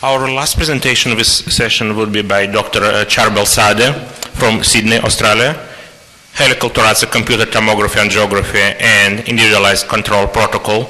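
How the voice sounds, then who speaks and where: A man's voice: fairly low pitch, little pitch movement, fairly quick, pushed and bright. A man speaking formally as the moderator of a conference session. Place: conference hall. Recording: reverberant hall.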